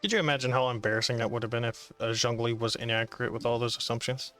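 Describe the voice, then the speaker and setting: A man gamer with a mid-pitched voice, talking quickly. He gives casual gameplay commentary over faint music. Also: fairly bright tone.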